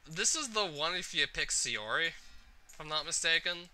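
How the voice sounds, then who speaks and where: A man's voice: fairly high pitch, animated, normal speed, bright, forceful tone, faint music behind. A man hosting a gaming video, commenting on a visual novel and reading its text aloud.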